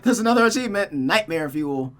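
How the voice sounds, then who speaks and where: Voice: mid-range voice, animated, a little fast. A game streamer reading a visual novel aloud and chatting casually about it, voicing the characters along the way.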